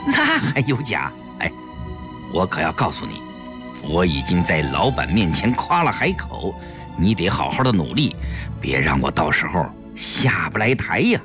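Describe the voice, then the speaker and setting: A man acts out an eager, boastful husband in an audiobook drama. He speaks low, in an urgent, coaxing way with big swings of pitch. Music sits clearly behind the words. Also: huge pitch swings, moderate pace, exaggerated voice. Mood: boastful urging. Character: eager, boastful husband, scheming henchman anxious to please.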